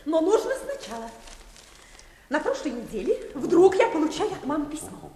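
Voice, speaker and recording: Very high-pitched, gushing young lady. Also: very animated, moderate pace, warm tone, slight background noise. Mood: excited chatter. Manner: gushing, theatrical, laughing chatter. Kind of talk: classic comic radio play acting. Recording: echoey hall reverb.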